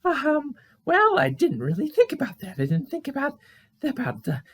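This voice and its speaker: Woman doing a high, whiny, anxious raccoon girl. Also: wildly swooping pitch, moderate pace. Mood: sheepish. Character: anxious, whiny female raccoon who overspends. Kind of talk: puppet show voice acting.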